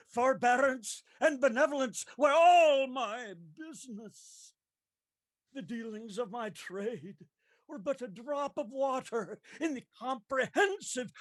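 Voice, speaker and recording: A tormented male ghost of a dead miser in a theatrical radio play. The voice is very high and creaky, dragging slowly through mournful declamations. It moans with remorse and stretches out its cries of woe. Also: exaggerated voice. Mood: bitter, remorseful. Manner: slow, declamatory, mournful, moaning drawn-out cries.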